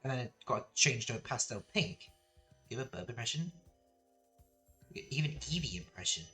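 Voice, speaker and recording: A young man talks casually over a live game stream, rambling and laughing at himself. His voice is bright and forward, sitting at an ordinary middle pitch. Faint music plays underneath. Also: expressive, moderate pace. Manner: rambling, self-deprecating, laughs often.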